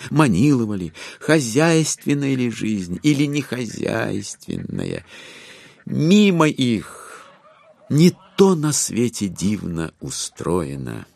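A man narrating a dramatised literary audiobook in an expressive, measured storytelling manner. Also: fairly low pitch, creaky, no room sound.

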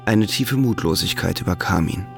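Casting: male narrator, low gravelly voice, scripted literary delivery, faint music beneath, radio play. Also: normal speed.

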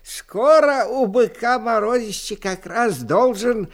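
An actor playing a kindly old grandfather who tells folk tales in a folksy, drawn-out, dramatic way for a fairy-tale radio play. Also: very high voice. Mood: warm, encouraging. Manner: folksy, drawn-out, dramatic storytelling with sighs and exclamations.

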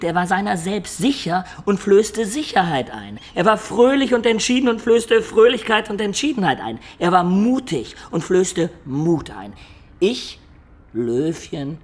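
An actor playing an imaginative peasant-born man who looks back on his life in a German radio play, in reflective, expressive storytelling. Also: a little high, breathy edge. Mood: fond, admiring.

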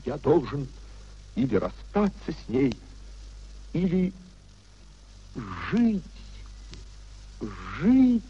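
An actor playing an anguished, idealistic young husband in a 1950s Russian radio play, pleading and declaiming theatrically. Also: male voice, mid-range voice, warm tone, husky, lo-fi sound, heavily acted. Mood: tormented soliloquy. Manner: theatrical, declamatory, repeating phrases emotionally.

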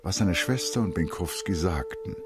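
Radio play narrator: bass register, wide intonation, measured, dryly ironic storytelling. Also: male voice, huge pitch swings, full character voice. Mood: dry, observant. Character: male literary narrator, wryly observant.

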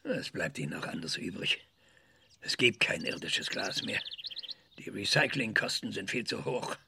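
A man's voice: fairly low pitch, animated, moderate pace, creaky, pushed and bright, breathy edge, full character voice. An older man in a radio drama playing an aggressive, eccentric, obsessive scientist who speaks in a hesitant, fitful way. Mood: cynical.

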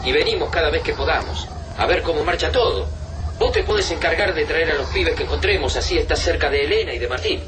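An actor playing a supportive, practical family friend turned fighter in a radio drama. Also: male voice, on the high side, quick pace, natural voice. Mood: reassuring. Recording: loud music behind voice.

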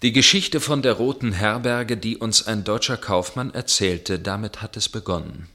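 An actor playing a tormented storyteller recounting a dark tale in a German radio play, with grave, measured, literary delivery. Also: male voice, on the low side. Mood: grave storytelling.

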